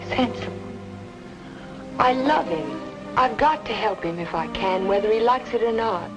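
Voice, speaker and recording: A woman's voice: fairly high pitch, expressive, unhurried, music in the background, poor audio. A woman playing a determined, lovelorn young amateur sleuth in an old-time radio noir drama, speaking earnestly and insistently. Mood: anguished, resolute.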